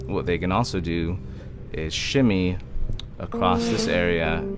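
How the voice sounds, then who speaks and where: A man with a deep voice casually walks through a game level as its designer. Guitar music is clearly audible behind him. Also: unhurried. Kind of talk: casual walkthrough explanation. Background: plucked guitar music.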